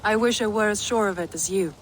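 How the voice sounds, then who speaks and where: A woman's voice: medium pitch, a little fast, a little hiss or hum, natural voice, rough recording. An actress playing a grave, brooding female Viking jarl in a video game cutscene.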